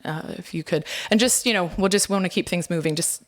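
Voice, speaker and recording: A woman's voice: fairly low pitch, fairly quick. A woman moderating a panel discussion, thinking aloud with many fillers.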